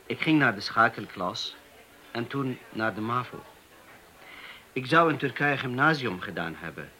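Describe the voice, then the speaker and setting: An actor playing an earnest young Turkish waiter who speaks haltingly, with many hesitations, in a radio play. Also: male voice, medium pitch, lively intonation, pushed and bright, poor audio. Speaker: Turkish waiter in a police interview.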